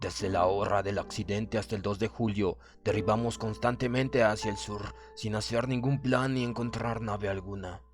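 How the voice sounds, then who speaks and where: A man reading a horror story as a dramatic audiobook narrator, in the role of a stern German submarine officer, with measured, theatrical delivery. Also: lowish voice, steady tone. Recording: narration over clear background music. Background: music.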